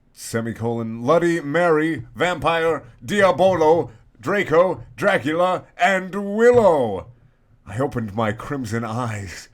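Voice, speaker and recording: A man giving a comedic dramatic reading of a story in a halting, mock-serious way. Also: a little high. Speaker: reader.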